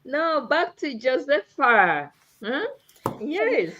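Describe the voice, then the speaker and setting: A woman from Uganda hosts a live online talk, her voice set a little high and stretched into exuberant, emphatic phrases. The sound comes through dull and lo-fi, like a streamed call. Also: very animated, drawn-out words, fairly bright tone. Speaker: host.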